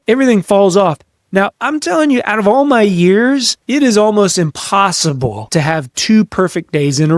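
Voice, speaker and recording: A man's voice: sits high, dry recording, studio quality. A man hosting a leadership podcast, coaching listeners directly in an earnest, emphatic way and repeating key phrases for stress. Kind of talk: motivational coaching monologue, direct address to listeners.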